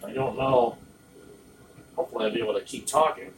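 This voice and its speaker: A man with a hoarse, failing voice talks casually and haltingly to camera while working, muttering in a low-key way and clearing his throat. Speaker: vlogger working on a car repair. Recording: roomy echo, distant mic, faint noise.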